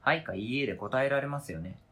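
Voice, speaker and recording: A man's voice: mid-range voice, quick pace, bright, forceful tone, husky. A man acting in a radio drama reading as a wounded, indignant adult who argues with cold, pedantic logic and interrogates relentlessly. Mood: relentless, contemptuous. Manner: pedantic, interrogating, formal argumentative phrasing.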